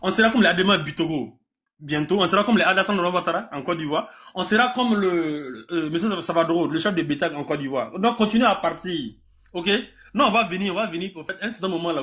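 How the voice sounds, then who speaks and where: A Burkinabé man debates politics at a very quick pace, in a slightly high voice. He speaks emphatically, repeats himself and trips over words. The live stream sound is dull. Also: fairly bright tone. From Burkina Faso. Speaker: debate participant.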